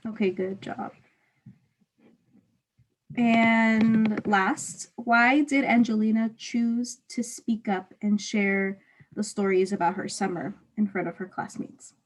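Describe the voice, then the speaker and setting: A woman teacher with a husky voice reading aloud and patiently putting simple guiding questions to a student. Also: medium pitch, slow pace, rough recording. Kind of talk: read-aloud and one-on-one comprehension questions.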